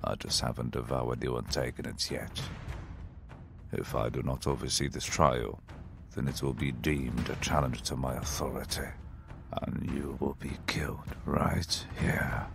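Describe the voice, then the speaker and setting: An actor playing an arrogant, menacing dragon in humanoid form in a fantasy audio drama, pompous and grandiose, with a gravelly voice. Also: male voice, very deep voice, fairly even intonation, measured pace, over music, full character voice.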